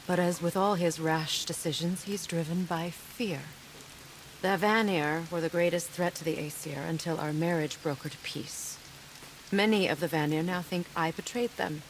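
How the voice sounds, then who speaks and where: A woman playing a wise, sorrowful witch and mother in a cinematic game, gentle but firm, speaking in a measured, earnest way. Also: on the low side, a little hiss or hum, lightly acted, rough recording. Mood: bitter, sad. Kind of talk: dramatic cinematic game acting. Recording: prominent music and rain behind.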